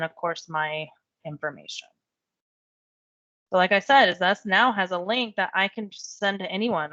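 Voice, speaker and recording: A woman's voice: medium pitch, fairly bright tone, no echo, lo-fi sound. Woman, losing her voice, chatty step-by-step tutorial, dry close sound. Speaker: real estate software trainer. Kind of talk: screen-share software tutorial.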